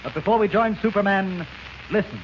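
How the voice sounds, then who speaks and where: A man's voice: sits high, expressive, a little fast. A man announcing a 1940s radio serial from a script, declamatory and dramatic. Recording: muffled, hissy old radio recording.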